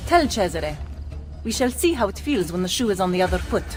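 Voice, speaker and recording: A woman's voice: medium pitch, sing-song, brisk, soft background music, close to an everyday voice. A woman playing a scheming, haughty Italian noblewoman villain in a video game, with an Italian accent. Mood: smug. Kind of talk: video game cutscene acting.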